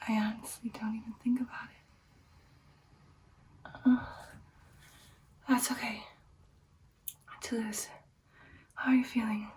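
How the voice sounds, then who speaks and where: Lady doing an old vampire girl, caring, asmr roleplay, soft breathy whisper, really slow, sounds a bit far from the mic. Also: a little high, slight background noise. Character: ancient female vampire, caring, selfless, weakened by hunger.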